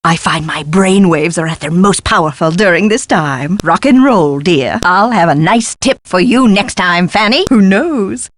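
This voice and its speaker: An eccentric lady history scholar for an adventure game, recorded close and dry. Her pitch swoops about in a lively way as she gushes and fusses. The delivery is pompous and theatrical, with precise diction. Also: a little slow, few pauses, dry recording. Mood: chirpy, excited. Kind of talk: scripted video game dialogue.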